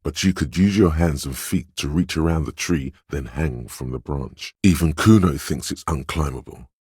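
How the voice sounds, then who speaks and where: A suave cocky money-mad hustler in a video game, super raspy, bone dry close sound, theatrical. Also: male voice, moderate pace, darkish tone, dry recording, exaggerated voice. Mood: cool, observant. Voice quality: gravelly, husky bass. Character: swaggering, money-obsessed suave inner voice, a slick hustler. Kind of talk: video game voice acting, dramatic narration.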